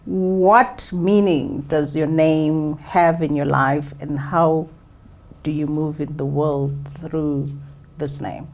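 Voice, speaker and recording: A woman hosting a conversation podcast, introducing her guest and asking measured questions with soft affirmations. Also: low-pitched, fairly even intonation. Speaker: podcast host. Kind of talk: interview conversation.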